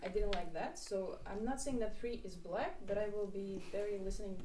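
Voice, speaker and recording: A woman player giving a steady, analytical timed speech, sometimes searching for words. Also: medium pitch, brisk. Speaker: tournament player. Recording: echoey, distant mic with noise.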